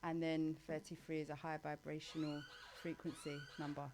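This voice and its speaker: A woman's voice: deep voice, little pitch movement, normal speed, background noise. A woman with a London accent in her thirties, chatting informally and using slang as a guest in a casual interview. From East London, England. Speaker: guest on a talk show.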